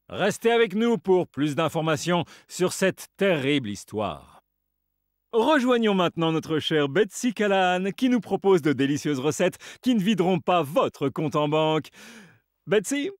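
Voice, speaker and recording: High-pitched, melodramatic news anchor. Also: male voice, no room sound, clean studio sound. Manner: dramatic, sensational, theatrical delivery. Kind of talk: mock news broadcast in an animated game.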